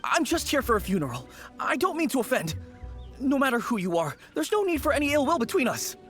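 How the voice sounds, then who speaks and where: High-voiced, timid young undertaker. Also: male voice, sing-song, brisk, music in the background. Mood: nervous. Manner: stammering, pleading, polite. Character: timid, easily terrified young male rookie undertaker. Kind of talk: animated video game voice acting.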